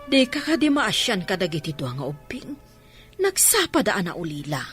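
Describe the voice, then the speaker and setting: A woman's voice: lowish voice, sing-song, normal speed. A compassionate, reproving village aunt acted by a woman in an Ilocano radio comedy drama. The voice sits mid-range and moves expressively up and down, with faint music behind. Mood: pleading, reproachful. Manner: pleading, reproving.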